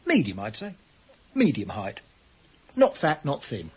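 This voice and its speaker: A shifty shopkeeper in a radio crime drama. He talks slowly and evasively, his pitch sliding widely as he tries to ingratiate himself. The recording is muffled and slightly noisy. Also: wildly swooping pitch, breathy edge, full character voice. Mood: evasive, uneasy. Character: shifty, evasive small-time shopkeeper, nervously oily. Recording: dull, noisy radio broadcast copy.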